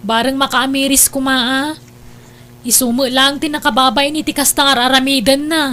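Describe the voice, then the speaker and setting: A woman's voice: sits high, little pitch movement, brisk, natural voice. A woman with an Ilocano accent playing an exasperated, scolding older sister in an audio drama. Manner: nagging, reproachful.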